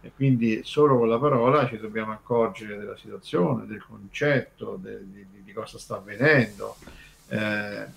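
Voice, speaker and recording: Guy from italy on a radio talk, explains stuff in a halting roundabout way, leans on key words. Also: fairly low pitch, vocal fry. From Terni, Italy. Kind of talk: informal explanatory radio discussion.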